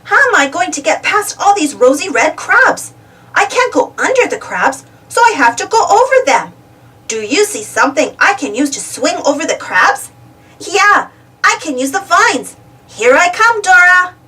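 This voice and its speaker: A woman voicing an excited, friendly young male monkey in a children's storybook, eager and exclamatory. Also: extremely high pitch, normal speed, far from the mic, exaggerated voice. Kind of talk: children's storybook read-aloud.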